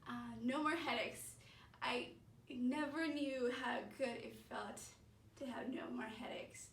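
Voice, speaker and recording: A woman in her thirties from the Bay Area telling her own health story as a testimonial, halting often with pauses and fillers. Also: high-pitched, vocal fry, a little hiss or hum. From San Francisco Bay Area, USA. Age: 30s. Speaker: patient giving a testimonial. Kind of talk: personal testimonial. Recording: roomy, mic set at a distance.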